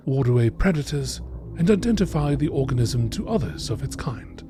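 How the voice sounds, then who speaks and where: A man reading scripted nature documentary narration aloud in a measured way. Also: a little high, mellow.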